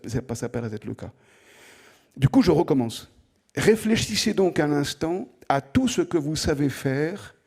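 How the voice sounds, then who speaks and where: A man's voice: fairly high pitch, darkish tone, husky. A middle-aged French man giving an opening lecture to students in a conversational way, often asking the room direct questions and repeating words as he thinks. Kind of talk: lively spoken lecture to students.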